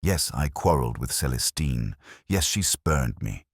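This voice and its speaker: A man's voice: a bass voice, big pitch swings, moderate pace, husky, no room sound, studio quality, exaggerated voice. A man voicing a proud, passionate, indignant opera tenor in a storybook mystery, florid and declamatory. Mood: outraged.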